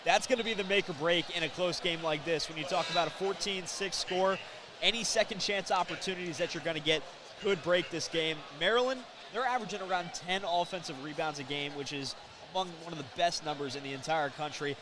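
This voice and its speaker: A man's voice: a little high, brisk. An American man giving explanatory, emphatic live analysis as a basketball color commentator. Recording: noisy live arena broadcast. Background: basketball game ambience.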